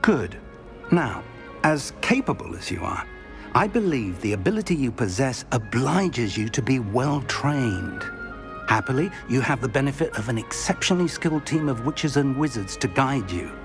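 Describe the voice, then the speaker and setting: A wise old British professor. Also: male voice, medium pitch, sing-song, over music. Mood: instructive. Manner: measured, formal, kindly instructive. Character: older male professor, wise and reassuring mentor. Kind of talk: video game voice acting.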